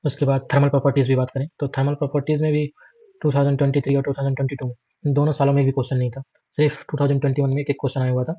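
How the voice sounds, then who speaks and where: A man's voice: medium pitch, little pitch movement, racing, dry recording, lo-fi sound. An Indian man giving an informal, matter-of-fact exam prep walkthrough, listing items repetitively and mixing in English terms. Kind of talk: informal screen walkthrough lesson.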